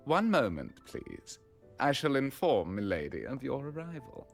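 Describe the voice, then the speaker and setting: An actor voicing a courteous, deferential servant of a noble house in a video game, polite and formal. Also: male voice, medium pitch, very animated, unhurried, creaky, faint music behind. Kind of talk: video game dialogue acting.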